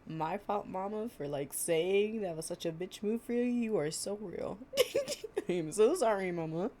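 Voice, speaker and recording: A woman's voice: mid-range voice, expressive, measured pace, mellow, a little hiss or hum, natural voice. A young woman playing an affectionate, chatty girlfriend in an improvised, intimate storytime roleplay, with a casual, slangy and playful way of talking. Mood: amused, casual. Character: affectionate, chatty girlfriend reading fables to her partner.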